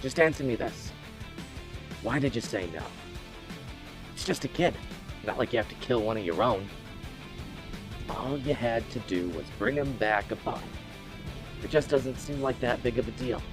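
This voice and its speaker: A smug, cruel crime boss, played by a man in an audio drama. His voice swings widely in pitch as he mocks and needles, with music playing clearly behind him. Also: expressive, normal speed, pauses often, lightly acted. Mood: smug taunting. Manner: mocking, drawling rhetorical questions. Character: taunting male gang henchman boss, smug and cruel.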